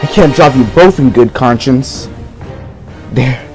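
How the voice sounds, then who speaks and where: A man voicing a brash, dim-witted young pirate captain in an anime parody dub, excitable and blunt. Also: medium pitch, a little slow, soft background music, lightly acted.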